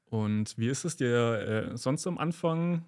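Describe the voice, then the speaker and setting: A man's voice: mid-range voice, expressive, slow pace, darkish tone, clean tone. A man asking questions in a casual, friendly interview. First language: German.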